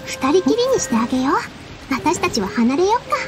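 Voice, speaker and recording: Anime game heroine: young dancer, kind and soft-hearted, very high, animated delivery. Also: female voice, brisk, over music. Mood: gentle encouragement. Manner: soft-hearted, polite, girlish. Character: gentle, kind young woman dancer, encouraging. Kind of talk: Japanese anime game dub.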